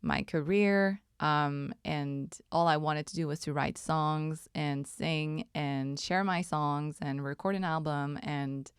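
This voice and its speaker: A woman in her thirties from Montreal, a native French speaker with a French Canadian accent, hosting a reflective solo podcast in a musing, unhurried way. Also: sits low, fairly even intonation, clear, resonant tone, dry recording, clean studio sound. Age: 30s. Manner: unhurried, musing, with many ums and soft laughs. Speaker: podcast host.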